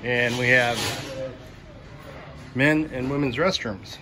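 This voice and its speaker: A man's voice: mid-range voice, takes it slowly. A man giving an unscripted, matter-of-fact walking tour as a school superintendent, explaining things as he goes, recorded on a handheld phone indoors. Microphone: handheld phone held by the speaker while filming. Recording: loud construction site noise. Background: loud building-site noise.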